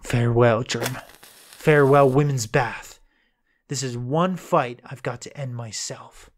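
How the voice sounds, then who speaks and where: A man's voice: mid-range voice, measured pace, natural voice. A man playing a brooding, chivalrous young fighter with an intense, earnest delivery in a fan-made manga drama. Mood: resolute.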